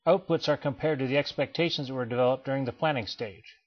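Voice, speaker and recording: A man giving a scripted voice-over for a training slideshow, in a measured, explanatory way. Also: medium pitch. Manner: measured, even explanatory delivery. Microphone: voice-over, not recorded in pictured place. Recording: dull lo-fi voice-over.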